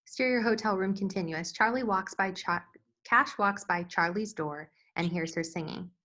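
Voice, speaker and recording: A woman's voice: mid-range voice, moderate pace, pushed and bright. A woman reading stage directions as scripted narration at a screenplay table read.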